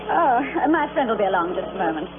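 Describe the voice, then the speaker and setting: Scornful bored wife on a tinny old radio drama. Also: female voice, fairly high pitch, very animated, fast talker. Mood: breezy, sardonic. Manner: theatrical, sardonic, impatient. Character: bored, scornful, adulterous wife, passionate with her lover. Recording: tinny vintage radio recording with music.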